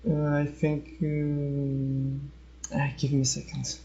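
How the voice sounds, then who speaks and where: A man talks casually as a podcast guest, with little movement in his pitch. He is hesitant and halting as he searches for words. The recording is dull and lo-fi. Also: monotone, mellow. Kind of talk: casual discussion of opinions. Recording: dull, lo-fi voice-chat audio.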